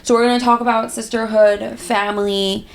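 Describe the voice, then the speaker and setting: A woman's voice: fairly high pitch, flat delivery, moderate pace, vocal fry, slight background noise. A young woman co-hosting a podcast with her sisters, joking and teasing in a playful way. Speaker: podcast co-host. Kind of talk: casual chat.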